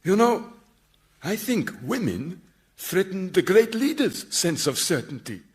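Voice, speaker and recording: An actor playing an excitable, naively curious and self-deprecating man, in radio sitcom style. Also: high-pitched, unhurried. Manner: questioning, excitable.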